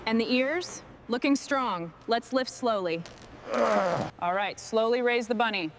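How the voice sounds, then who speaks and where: A woman leading a restoration crew, giving brisk, clipped instructions. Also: fairly high pitch, lively intonation, slight background noise. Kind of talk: scripted work commands.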